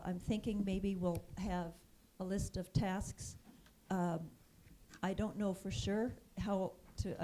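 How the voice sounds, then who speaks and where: A middle-aged woman making a church announcement into a handheld microphone, soft-spoken and hesitant, thinking aloud as she goes. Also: medium pitch, a little hiss or hum, poor audio. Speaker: facilities chair. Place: church sanctuary. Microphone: handheld mic.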